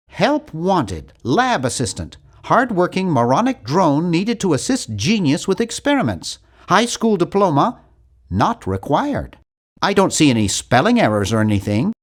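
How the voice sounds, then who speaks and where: A man's voice: a little high, full character voice. A man voicing an earnest, timid young male nerd in an adventure video game, with a precise, fussy delivery. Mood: curious, matter-of-fact. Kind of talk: animated adventure game voice acting.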